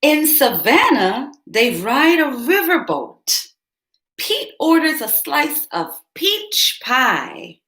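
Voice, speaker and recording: A woman's voice: high-pitched, sing-song, slow pace, fairly bright tone. A woman reading a picture book aloud to young children, turning to ask her listeners questions along the way. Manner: speaks directly to young listeners, asks them questions. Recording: roomy echo.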